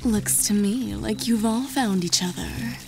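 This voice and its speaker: A woman's voice: mid-range voice, a little slow, creaky, over music, poor audio. A woman voicing a sly, elegant female serpent-folk fortune-teller in an audiobook, speaking formally and with amusement. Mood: sly, amused. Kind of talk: audiobook character voice.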